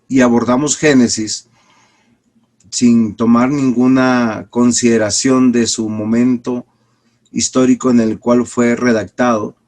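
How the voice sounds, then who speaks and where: Man, pastor teaching, mid-pitched, slightly congested from a cold, deliberate, restarts often. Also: a little mellow. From Peru. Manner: deliberate, with restarts and drawn-out words. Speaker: pastor teaching a seminary class. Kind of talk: online Bible lecture.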